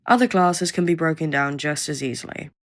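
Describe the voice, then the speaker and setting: A woman's voice: low-pitched, lively intonation, a little fast. A woman playing a curt, condescending government researcher who briefs a recruit with blunt, sardonic impatience in an audio roleplay. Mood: impatient explaining. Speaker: researcher guiding a new recruit. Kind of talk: audio roleplay acting, addressed to listener.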